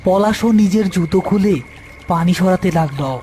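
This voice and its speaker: A man's voice: high-pitched, a little slow, warm tone, a little hiss or hum. A man narrating a scripted horror folk tale as storyteller.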